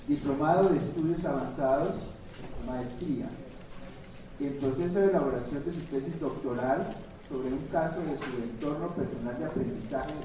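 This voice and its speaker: A man reading a formal introduction of a conference speaker, listing his credentials. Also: mid-range voice. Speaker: event host introducing speaker. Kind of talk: formal introduction read aloud. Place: conference hall. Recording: echoey hall from far off with background noise.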